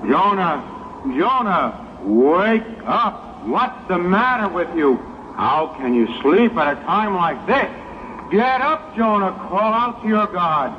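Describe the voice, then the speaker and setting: Devout, commanding ship's captain, male actor, declamatory and slow-paced, 1960s audio drama on a dull, hissy recording. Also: high voice, big pitch swings, full character voice. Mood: grave, urgent. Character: commanding sea captain, devout, growing alarmed in a storm.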